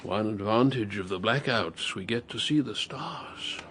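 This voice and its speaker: An actor playing a tired, pessimistic elderly English writer in a radio drama, husky and measured, with a wry, courteous, literary manner. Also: male voice, on the low side, a bit bright, soft background music. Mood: gentle melancholy. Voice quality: husky, gravelly. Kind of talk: radio drama dialogue and reflective monologue.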